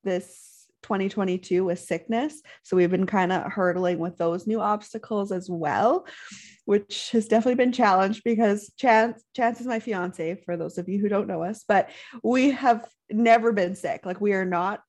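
A young woman chats on a podcast in an even, mid-range voice. She is talkative and friendly, and giggles often between her stories. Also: medium pitch, moderate pace. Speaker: podcast co-host, nurse. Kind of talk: casual chat.

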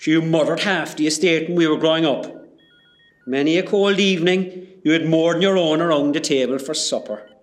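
A man's voice: fairly high pitch, a little fast, natural voice. A man playing a kindly, earnest Irish hospital porter with a Cork accent in an amateur radio play. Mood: gentle, consoling. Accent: Irish, County Cork. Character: kindly, apologetic Irish hospital porter, grown-up local lad.

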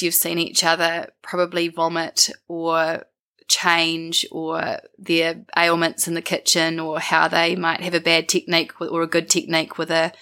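Croaky New Zealand woman, thoughtful. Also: lowish voice, bright, forceful tone. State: croaky from a winter bug. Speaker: interview guest. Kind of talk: reflective interview conversation.

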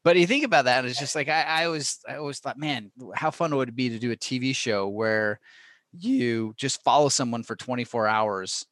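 A man with an average-pitched voice chats as a podcast host. He is informal and discursive, wandering through opinions and slipping into quoted dialogue. The sound is dry, with very little room in it. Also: expressive, brisk, a bit bright, dry recording. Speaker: podcast host interviewing an author. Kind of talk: casual chat, opinionated tangents.

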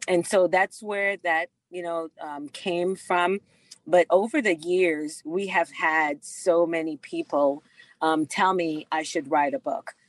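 Woman from the Bahamas, low voice, slow, earnest, dry sound, lots of ums. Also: no room sound. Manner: slow and earnest, frequent fillers. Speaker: guest, doctor and author. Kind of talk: interview storytelling.

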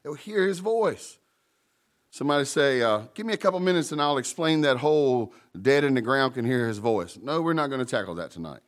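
A man in the middle of a sermon, his voice climbing high for emphasis and sinking low and quiet at the end of thoughts. He addresses the congregation directly and teases that he mumbles. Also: animated, brisk. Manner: emphatic preaching, calls to the congregation; jokes about mumbling. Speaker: pastor.

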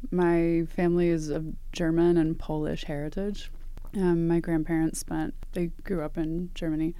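A woman's voice: lowish voice, little pitch movement, moderate pace, warm tone, clear, resonant tone, no room sound, poor audio. A woman from East Tennessee, an artist and academic advisor, answering interview questions thoughtfully with frequent ums and restarts. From East Tennessee, USA.

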